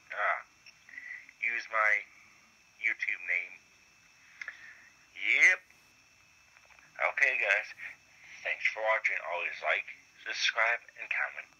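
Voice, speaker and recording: A man's voice: lowish voice, takes it slowly, pauses often, sharp tone, slightly rough. A man chatting casually over a push-to-talk app, rambling in a laid-back way. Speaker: radio chat participant. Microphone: walkie-talkie app, played through a handheld radio. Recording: muffled radio audio through a handset speaker.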